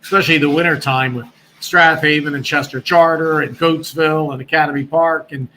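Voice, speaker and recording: A talkative man in his sixties rambles through his news as a call-in guest, full of restarts. His voice is bright and a bit raised in pitch, and faint noise sits under the line. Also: steady tone, a little fast, rough recording. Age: 60s. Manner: rambling, chatty, many restarts. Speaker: guest, former radio broadcaster. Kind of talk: casual chat on a call-in show.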